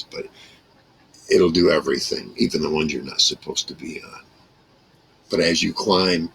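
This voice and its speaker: A middle-aged or older man with a gravelly voice and a Midwestern American accent, reminiscing casually as a former radio operator in a blunt, folksy, halting way. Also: a bass voice, sharp tone, low-quality recording. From Michigan, USA.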